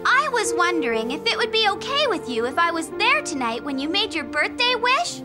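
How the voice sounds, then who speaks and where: A woman voicing a cheerful, eager young witch girl in an animated cartoon. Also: very high voice, fairly quick. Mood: affectionate. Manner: bright, eager childlike delivery. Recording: music underneath.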